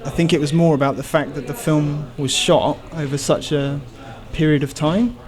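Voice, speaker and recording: A man's voice: a little high, steady tone, moderate pace, darkish tone. A man being interviewed as a film crew member, explaining things casually as he thinks aloud.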